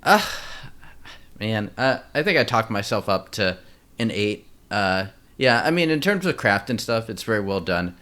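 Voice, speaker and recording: A man's voice: on the low side, big pitch swings, normal speed, a bit bright. A man co-hosting a film podcast, giving his opinions in a casual, hesitant, informal way.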